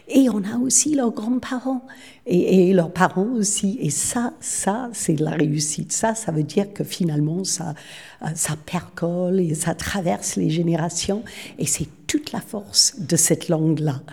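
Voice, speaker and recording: A woman's voice: lowish voice, vocal fry, mellow, slightly rough. A woman, speaking as a city official in an interview at a public event, talking eagerly and often repeating words as she searches for the next one.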